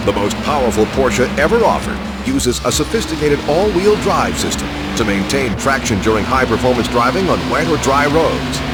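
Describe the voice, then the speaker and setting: A man reading scripted promotional documentary narration in a polished, measured announcer style, as for a car showcase in a video game. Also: mid-range voice. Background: prominent background music.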